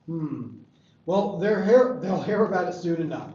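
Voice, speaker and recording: A man acting a brisk, dismissive school principal in a screenplay table read, businesslike and official. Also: high-pitched, animated, mellow. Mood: official, brusque. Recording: far from mic, echoey, dull room.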